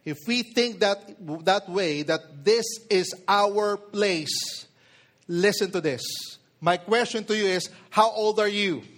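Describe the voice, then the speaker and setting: Preacher, male, forties, Filipino accent. High voice, emphatic sermon delivery, addresses listeners directly. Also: slow, fairly bright tone. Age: 40s. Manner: code-switches between English and Tagalog; emphatic, with rhetorical questions to listeners.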